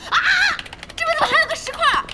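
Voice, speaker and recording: An actress playing an excitable, blunt young woman who runs an internet cafe in an audio drama, expressive and exclaiming. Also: very high-pitched, very fast, background noise. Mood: panicked, excited.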